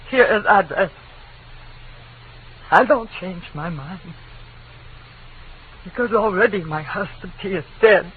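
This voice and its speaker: A woman acting a grieving, frightened but stubborn immigrant wife in halting broken English, in 1940s radio drama style. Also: medium pitch, slightly rough. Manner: halting, lamenting, foreign-sounding broken English. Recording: tinny, noisy old radio recording.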